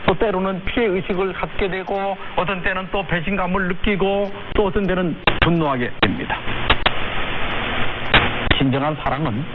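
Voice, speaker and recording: A man's voice: high-pitched, rapid-fire. A man giving an inspirational talk as a lecturer. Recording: very noisy narrowband shortwave radio.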